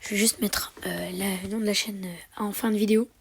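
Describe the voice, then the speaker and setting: A young man commenting on his own mobile gameplay, with a sore throat and a slight cold, switching between excited slangy cheering and quiet muttering under his breath. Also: high voice, fairly quick, vocal fry, heavy background noise. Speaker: mobile game youtuber.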